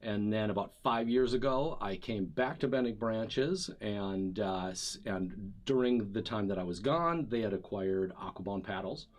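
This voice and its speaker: A man from Wisconsin, a paddle company sales rep, chatting in a relaxed, joking way over drinks. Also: fairly low pitch, measured pace, few pauses. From Wisconsin, USA. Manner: relaxed, joking, rambling with fillers. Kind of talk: casual interview chat. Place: indoors, at a table with drinks.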